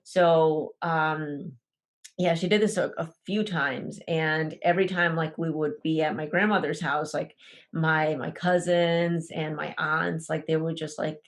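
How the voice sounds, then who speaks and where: Low-voiced woman telling her story haltingly. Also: fairly even intonation. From Ecuador. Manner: hesitant, many fillers and restarts. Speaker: podcast guest. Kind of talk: personal storytelling.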